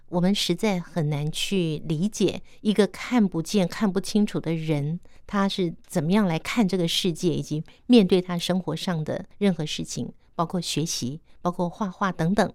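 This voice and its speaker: Female radio interviewer, Taiwanese Mandarin accent, slightly low pitch, dry close sound, attentive and encouraging. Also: a little mellow, no room sound. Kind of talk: conversational interviewing.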